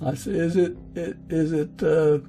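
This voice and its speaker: Creaky-voiced male podcast host over music. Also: on the high side, level tone, a little slow, warm tone. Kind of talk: interview, warm-up introduction and questions.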